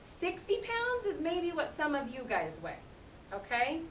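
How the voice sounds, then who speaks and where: Roomy, higher-pitched woman teaching young children. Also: huge pitch swings, measured pace, a bit bright. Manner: explanatory, addresses young children directly. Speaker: teacher reading aloud to children. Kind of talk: classroom read-aloud with conversational explanations. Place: indoor room, speaking to a class. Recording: roomy, distant mic with rumbling noise.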